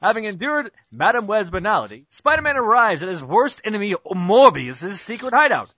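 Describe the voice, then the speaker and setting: A man's voice: high voice, very animated, moderate pace, bright, forceful tone, no room sound. A young man giving an amateur mock-dramatic narration of a cartoon parody, hammy and stumbling over words, on a muffled internet call. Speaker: narrator in a group script reading.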